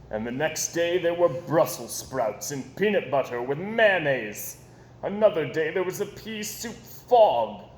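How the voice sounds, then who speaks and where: A man reading a children's story aloud in an expressive, animated storytelling style, with dramatic pauses and emphasis. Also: mid-range voice. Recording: echoey room with background noise.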